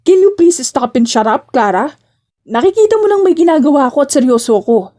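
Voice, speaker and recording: Woman voicing a stern, brooding male boss, mellow tone. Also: high voice, very animated, fairly quick, pitched above the performer's own voice. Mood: irritated. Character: cold, brooding male boss, serious and impatient lover. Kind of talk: audio drama romance acting.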